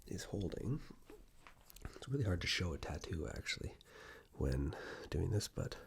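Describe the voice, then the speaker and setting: Male ASMR host: low, very husky, hushed and half-whispered, chatting intimately to camera. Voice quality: hushed, breathy, often dropping into a whisper. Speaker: ASMR video creator and host. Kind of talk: ASMR, soft-spoken personal ramble to camera.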